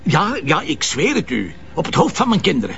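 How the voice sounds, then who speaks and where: A blunt, impatient Flemish police commissioner in a TV crime drama. His fairly high voice moves widely in pitch, with a creaky edge. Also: wildly swooping pitch, fairly quick, muffled recording, over music, exaggerated voice. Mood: pleading, placating. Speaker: lead actor in a police series. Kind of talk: naturalistic TV crime drama acting.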